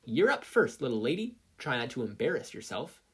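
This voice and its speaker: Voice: medium pitch, big pitch swings, fairly quick, slightly rough, exaggerated voice. A narrator with an American accent voicing a brash, theatrical, teasing woman teacher in a story reading. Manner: loud, flamboyant, commanding.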